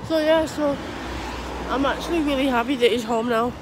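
A woman's voice: sits high, normal speed. Rambling young woman with a cold. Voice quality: thick, clogged voice. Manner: rambling, hesitant, many restarts and fillers. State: has a cold, sore throat, runny nose. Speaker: vlogger. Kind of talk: casual rambling update. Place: outdoors on a street, walking dogs. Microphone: phone held low at arm's length. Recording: phone recording outdoors with traffic noise.

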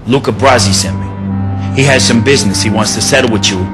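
An actor playing a young, coolly threatening mob recruit in a video game, terse and intimidating. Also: male voice, lowish voice, quick pace, music in the background, natural voice. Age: young adult.

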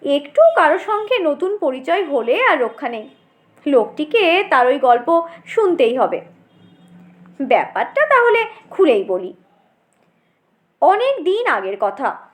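A woman's voice: sits high, big pitch swings, takes it slowly, long pauses. A woman narrating a humorous short story expressively, as an audiobook storyteller.

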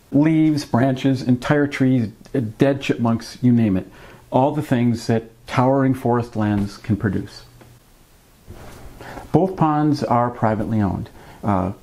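A man in his fifties hosting a fishing vlog, explaining things to camera in a conversational, measured way with wry asides. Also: medium pitch, creaky, warm tone. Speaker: fishing channel host. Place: small indoor room at a desk with a computer.

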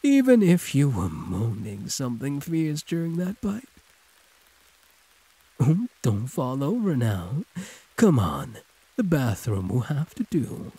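A hulking male werewolf, played by a man, purrs possessively in an intimate audio roleplay. His voice is extremely husky, with swooping pitch and an unhurried drawl. He teases like a predator toying with prey. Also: huge pitch swings, warm tone, full character voice, low-quality recording. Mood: predatory, hungry, then soothing, teasing. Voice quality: husky, breathy. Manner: drawling, teasing pet names, predatory purr. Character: possessive, growling male werewolf prisoner, huge and teasing.

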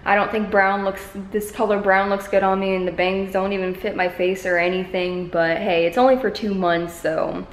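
A woman's voice: mid-range voice, level tone, moderate pace, few pauses. A woman in her twenties chatting casually and informally to camera as a vlogger, holding it at arm's length indoors. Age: 20s. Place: medium-sized living room indoors. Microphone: camera held at arm's length. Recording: echoey bare room.

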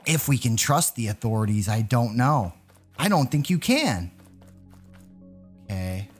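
A man hosting a gaming video, giving excited, exclamatory gameplay commentary with playful mock alarm as he addresses his viewers. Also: medium pitch, measured pace, husky, soft background music.

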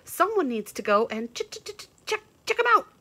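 A woman voicing an excited, chattering squirrel that stutters in a high voice. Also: fairly quick.

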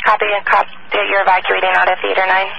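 A man's voice: high voice, monotone, quick pace, gravelly, pushed and bright. A male police dispatcher giving brief acknowledgements over a police radio. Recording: muffled phone-like police radio.

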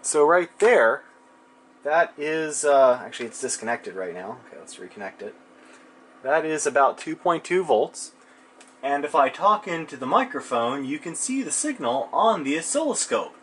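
A man's voice: on the high side, big pitch swings, normal speed, fairly bright tone, slight background noise. A man informally narrating a hands-on electronics demonstration, musing to himself as he works at his bench. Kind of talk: casual hands-on demonstration, thinking aloud. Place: cluttered indoor electronics workbench.

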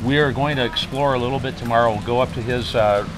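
A man's voice: mid-range voice, steady tone, quick pace, music in the background, poor audio. A middle-aged man with an American accent, chatting casually to camera as host of a travel vlog. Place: restaurant table and rainy downtown street at night.

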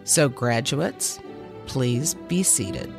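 A woman in her fifties, a podcast host, delivering a mock commencement speech in a measured, deliberate way with emphatic pauses. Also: a bass voice, creaky. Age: 50s. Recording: music bed underneath.

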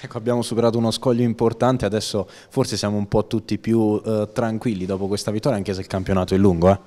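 A man interviewing players after a match, asking leading questions with pauses and fillers. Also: on the low side, flat delivery, mellow, no echo. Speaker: sideline interviewer.

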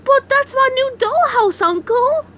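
Woman doing a shrill-pitched spoiled cartoon girl. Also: lively intonation, a little slow, fairly bright tone, dull audio, exaggerated voice. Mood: whiny. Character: spoiled, whiny young girl, king's niece. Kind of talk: amateur comic dub, cartoon voice acting.

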